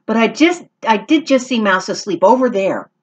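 A woman's voice: on the high side, expressive, moderate pace, slightly rough, voice pushed up. A woman doing a sly little mouse.

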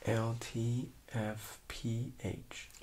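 A man, around his thirties, giving quiet, brief, matter-of-fact replies as the patient in a soft-spoken ASMR role-play. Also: medium pitch, little pitch movement, very slow, a little hiss or hum. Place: small indoor studio room.

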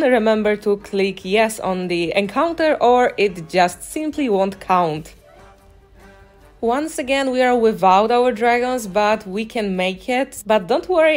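A woman giving casual walkthrough commentary as a gaming guide, speaking in voice-over. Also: medium pitch. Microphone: voice-over on a microphone, game music behind.

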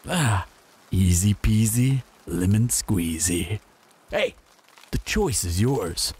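Man voicing menacing male bandit, audio roleplay, lively swooping intonation, husky low voice, taunting drawl. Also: takes it slowly, full character voice. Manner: taunting, drawling, mock-friendly. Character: menacing, smug male bandit in a zombie apocalypse.

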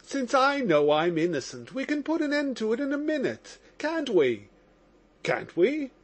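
Guy doing a nervous drunk young suspect, high and pleading, gushing away. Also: huge pitch swings, measured pace, full character voice. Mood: effusive, nervous. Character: agitated young male suspect, drunk and effusive.

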